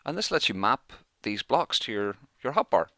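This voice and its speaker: A man's voice: medium pitch, big pitch swings, moderate pace, bright, forceful tone, no room sound. A man giving casual let's play commentary, chatting and thinking aloud as he plays, close on the microphone in a small room. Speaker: gaming YouTuber, let's play host.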